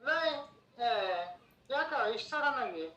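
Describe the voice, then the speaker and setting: A man's voice: very high voice, big pitch swings, slow pace, bright, forceful tone. Curt, irritated man on speakerphone. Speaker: husband on a phone call. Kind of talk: casual phone conversation. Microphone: phone on speaker. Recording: distant, roomy speakerphone sound.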